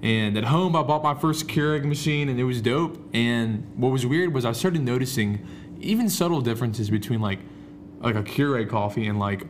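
A man's voice: medium pitch, normal speed. A young American man from the D.C. area co-hosting a podcast in a casual, playful, slangy way. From Washington, D.C. area, United States. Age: young adult. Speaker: podcast co-host and barista. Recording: faint background noise.

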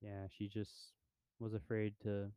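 A man's voice: fairly low pitch, completely flat delivery, a little slow, mellow, smooth, no echo. A young man co-hosting a podcast, chatting casually about movies in a laid-back, rambling way with lots of fillers. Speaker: podcast co-host. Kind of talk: casual movie review chat.

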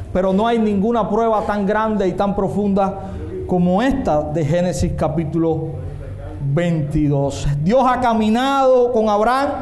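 High-voiced pastor in echoey room. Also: male voice, darkish tone. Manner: emphatic, asks the congregation questions. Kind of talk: sermon. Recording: echoey room with faint noise.

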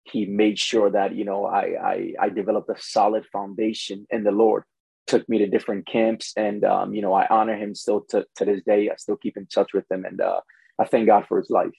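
A man's voice: lowish voice, little pitch movement, fairly quick, gravelly, dark tone, breathy edge, no echo, poor audio. A man from Ghana who grew up in the United States, speaking as a pastor and guest in a conversational interview, often saying "you know". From born in Ghana, grew up in the United States from preteens.

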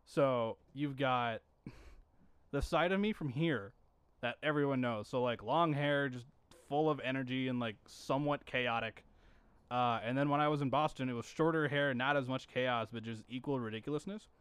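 A young man co-hosting a podcast, telling animated stories and laughing often. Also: mid-range voice, moderate pace, clean tone, no room sound. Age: young adult. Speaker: podcast co-host. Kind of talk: casual chat.

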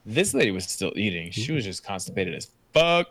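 A man's voice: fairly low pitch, big pitch swings, brisk, a bit bright, no room sound, clean studio sound. A man co-hosting a podcast in casual chat, talking bluntly and frankly with some swearing. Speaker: podcast co-host, nurse.

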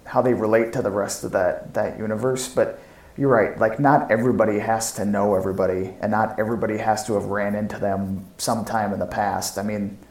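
A man's voice: on the low side, steady tone, fairly quick, a little mellow. A man co-hosting a podcast, chatting casually and conversationally about pop culture. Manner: informal, conversational. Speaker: podcast co-host. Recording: echoey, noisy home podcast audio.

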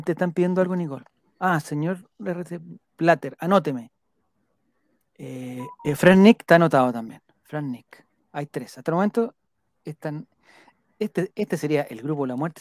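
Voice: mid-range voice, gravelly, a little mellow, dry recording. Rambling Chilean live stream host, close dry sound. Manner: rambling, repeats names while jotting them down; playful, joking. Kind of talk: casual chat, reading out viewer comments.

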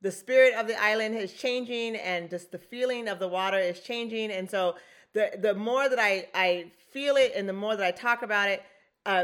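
A woman's voice: mid-range voice, fairly bright tone. A woman hosting a podcast, talking in a chatty, effusive way with frequent restarts. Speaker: podcast host. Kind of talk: casual podcast conversation.

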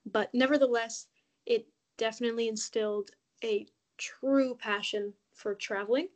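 A woman from Mexico, a podcast guest, talking in a relaxed, chatty way with frequent ums. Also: a little high, moderate pace. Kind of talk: casual interview conversation.

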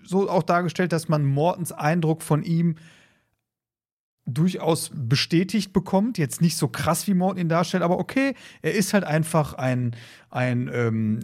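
A man's voice: a little high, a little mellow. A man co-hosting a podcast, casually discussing in a rambling way, repeating words and slipping into short acted quotes. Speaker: podcast co-host. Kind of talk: casual discussion of an audio drama.

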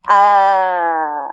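A Mexican American woman from the Texas border co-hosting a casual podcast, excitable, laughing easily and swearing freely. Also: mid-range voice, clean tone, dry recording, dull audio. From Texas border region, USA. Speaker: podcast co-host. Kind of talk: casual chat.

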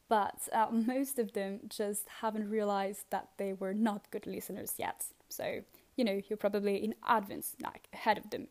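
A young woman with a French accent, talking through listening tips on her own podcast in a relaxed, chatty way with many fillers and repeated words. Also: medium pitch. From France. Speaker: podcast host. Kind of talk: casual solo podcast advice.

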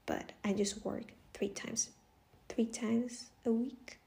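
A woman's voice: on the high side, steady tone, slow, vocal fry, warm tone. A woman in her twenties from Costa Rica, a native Spanish speaker, hosting a casual solo podcast in a chatty way, often laughing and restarting her sentences. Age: 20s. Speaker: podcast host.

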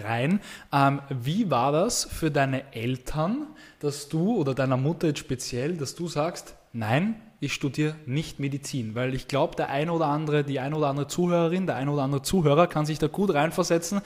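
A man hosting a podcast interview, upbeat and enthusiastic, with frequent affirmations. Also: a little high. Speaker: podcast host.

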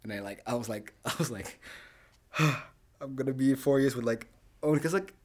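A man's voice: medium pitch, normal speed. Young man chatting with lots of fillers. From Rwanda, raised in the Netherlands. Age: young adult. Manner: rambling, laughing, lots of fillers and restarts. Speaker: podcast co-host. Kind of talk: casual chat.

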